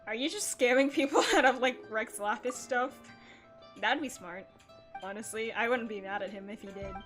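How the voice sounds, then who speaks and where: A woman's voice: fairly high pitch, normal speed, music in the background. A woman hosting a casual gaming livestream, chatting playfully as she comments on the game and reads bits of dialogue aloud. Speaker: livestream host.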